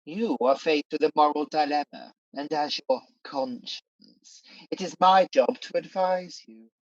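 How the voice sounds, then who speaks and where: A scheming Demon King played by an actor in a radio pantomime, mid-pitched and theatrical. The delivery is sly and coaxing, and the sound is close and dry but slightly dull. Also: a little slow, a little mellow, dry recording, close to an everyday voice. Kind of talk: pantomime radio play acting.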